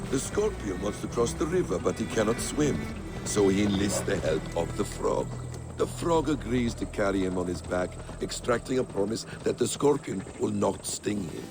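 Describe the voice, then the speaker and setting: A low, gravelly, cunning warrior mentor. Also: male voice, lively intonation, music in the background. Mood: measured, cryptic. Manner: measured, cryptic storyteller. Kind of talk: dramatic video game acting.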